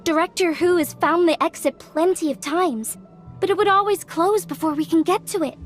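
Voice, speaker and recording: A woman's voice: very high voice, moderate pace, faint music behind. A woman playing an earnest young woman in a fantasy video game, speaking articulately. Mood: concerned. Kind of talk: video game cutscene acting.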